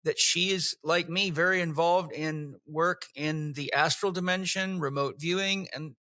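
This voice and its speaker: A man's voice: a little high, fairly even intonation, unhurried, bright, forceful tone, no echo. A man hosting a podcast interview, enthusiastic and effusive as he introduces and questions his guest. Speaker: podcast host.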